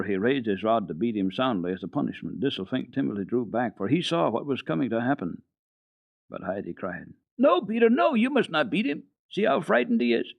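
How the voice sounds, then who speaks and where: A man giving a dramatic reading of a children's book for a radio program, in a lively, expressive storytelling manner. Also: lowish voice, no echo, narrow, tinny audio.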